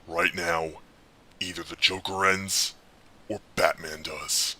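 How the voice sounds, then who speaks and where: Grim masked vigilante for audio drama, husky and tense as hell, pretty flat, worn out and desperate. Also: male voice, full character voice. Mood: pleading, resolute. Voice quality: gravelly, husky growl. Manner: intense, deliberate, low and pleading. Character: grim, desperate, world-weary adult male masked vigilante hero. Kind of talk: fan audio drama acting.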